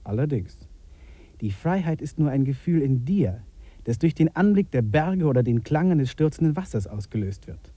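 An actor playing a rational, sceptical expedition member, logical and gently mocking, in a 1950s German radio drama. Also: male voice, a little high, animated, moderate pace, no room sound. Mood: dry, sceptical.

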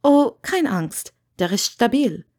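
A woman's voice: on the high side, animated, slow, darkish tone. A woman voicing a friendly, mischievous witch in an audiobook, blunt and self-assured in a matter-of-fact, teasing way. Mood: breezy, teasing. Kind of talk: audiobook character dialogue.

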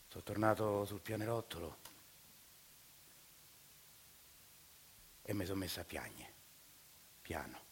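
A man's voice: fairly low pitch, slight background noise, close to an everyday voice. An actor playing a desperate, evicted family man in a radio monologue, speaking with a Roman accent in a sardonic way that breaks into outbursts. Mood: wistful. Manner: bitter, sardonic storytelling, rising to outbursts.

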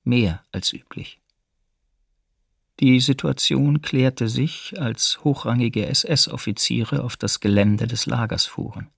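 An actor playing a camp survivor who soberly gives first-person testimony in a documentary radio drama. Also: male voice, mid-range voice, takes it slowly, gravelly, husky, no room sound, clean studio sound, exaggerated voice. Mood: grave recollection. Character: male camp survivor soberly recounting his ordeal.

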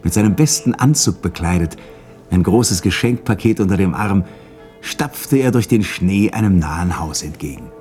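An actor as the narrator of a Christmas tale in a radio play, telling it in a measured way. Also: male voice, sits low, lively intonation, a little mellow, faint music behind. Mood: gentle, satisfied. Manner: measured storytelling. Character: calm storyteller of a Christmas tale.

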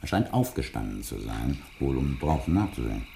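An actor playing a matter-of-fact man reporting on a case in a radio play, in a measured, dry, explanatory way. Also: very deep voice, big pitch swings, gravelly, background noise, full character voice.

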